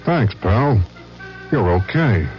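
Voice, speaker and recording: An actor playing a terse hard-boiled tough guy in a detective parody radio spot, clipped and deadpan. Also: lowish voice, slow pace. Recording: muffled old radio spot, loud music.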